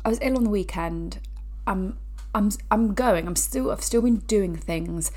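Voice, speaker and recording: A woman hosting her own fitness podcast, her voice blocked and nasal from a recent cold. She talks in a loose, chatty way, often restarting sentences and tossing in asides, at an ordinary middle pitch. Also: measured pace, a little mellow. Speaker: podcast host. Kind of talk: casual educational podcast talk.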